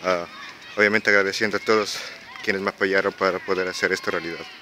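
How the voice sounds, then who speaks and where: A young man in his twenties with an Ecuadorian Quito accent, vlogging casually while walking outdoors on quiet residential streets, talking hesitantly with many fillers and restarts. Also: low-pitched, steady tone, quick pace, a little hiss or hum. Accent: Ecuadorian Spanish (Quito). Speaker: exchange student vlogger. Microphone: handheld camera while walking.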